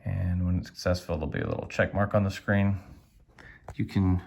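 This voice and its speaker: A man's voice: low voice, brisk, creaky, darkish tone. A man giving a casual hands-on tutorial, thinking aloud in a tentative way as he demonstrates a device. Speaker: product reviewer demonstrating a device.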